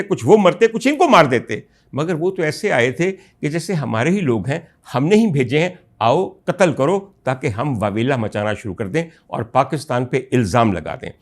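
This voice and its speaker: A man with a Pakistani Urdu accent hosting a political commentary show, delivering a rhetorical monologue to his audience that builds to loud indignant outbursts. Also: fairly high pitch, animated, normal speed, few pauses. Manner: addresses listeners as ladies and gentlemen.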